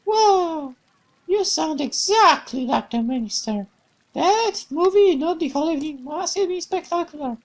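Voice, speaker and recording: A woman's voice: very high-pitched, lively intonation, a little slow, pitched above the performer's own voice, poor audio. A woman doing a bubbly cheerful young woman. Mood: playful. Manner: bright, playful, exclamatory, raised voice.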